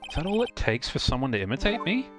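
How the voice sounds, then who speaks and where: A man's voice: on the high side, very animated, fast talker, over music. A man voicing an exasperated, earnest young defense lawyer in a live fan dub of a video game, questioning in a dry, incredulous way.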